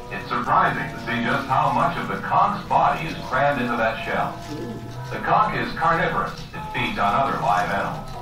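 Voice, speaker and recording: A man narrates an educational nature film in a lively, varied delivery, explaining each point straight to the viewer. His voice comes through very echoey and distant, with clear music behind it. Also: mid-range voice. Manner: explanatory, addresses the viewer directly.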